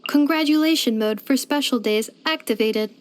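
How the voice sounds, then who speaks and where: A woman's voice: high voice, normal speed, lightly acted. A woman voicing a polite, devoted household robot companion in a video game, speaking in formal, precise, computer-like phrasing. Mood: helpful, courteous. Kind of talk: scripted video game character voice lines.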